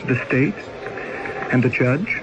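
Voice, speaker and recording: A man's voice: mid-range voice, animated, slow pace, dull audio. An actor in his twenties playing a young exile in a radio drama, pleading and anguished. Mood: desperate. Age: 20s. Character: young male exile, desperate, indignant. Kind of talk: 1970s radio drama acting. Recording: noisy old radio broadcast.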